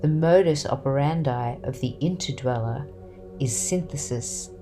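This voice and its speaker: A woman reading a book excerpt as scripted literary narration, with solemn, measured, deliberate phrasing. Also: low voice, darkish tone.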